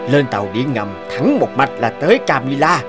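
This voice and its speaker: A man's voice: mid-range voice, very animated, moderate pace, music in the background, close to an everyday voice. A man playing a scheming burly smuggler in a cartoon, sly, cynical and persuasive. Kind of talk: dubbed cartoon acting.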